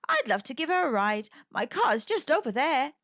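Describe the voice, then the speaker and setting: A woman's voice: high voice, very animated, a little fast, a bit bright, heavily acted. A woman voicing a kindly, genteel old lady who fusses over cats in a children's storybook. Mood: tender. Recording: slightly dull, echoey home recording.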